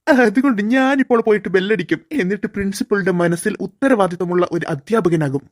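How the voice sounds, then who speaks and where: A woman's voice: mid-range voice, fast, a little mellow, dry recording, studio quality, pitched below the performer's own voice, lightly acted. A woman voicing a self-important male music teacher in a cartoon, muttering plans to himself. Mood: plotting.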